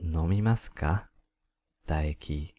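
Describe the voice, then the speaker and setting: A man playing a shameless, overeager, mock-earnest companion in a comedy radio drama, moving between theatrical pleading and deadpan absurdity. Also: extremely low pitch, extremely slow, full character voice. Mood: coy offering.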